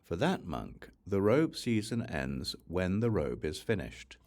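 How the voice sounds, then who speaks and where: A man reading a scriptural audiobook in a measured, reciting manner, breaking sentences into short phrases. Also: low voice, sing-song, darkish tone. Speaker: audiobook reader.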